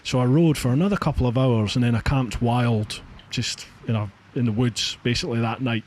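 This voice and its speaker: A man hosting a podcast in casual, emphatic conversation. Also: mid-range voice. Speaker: podcast host. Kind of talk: casual chat. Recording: faint background noise, online call.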